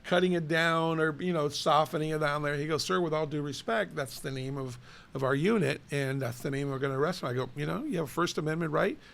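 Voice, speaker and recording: Raspy, animated man talking city politics. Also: on the high side, fast talker. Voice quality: raspy today. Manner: animated, chatty storyteller. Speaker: co-host, city official. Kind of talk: casual chat.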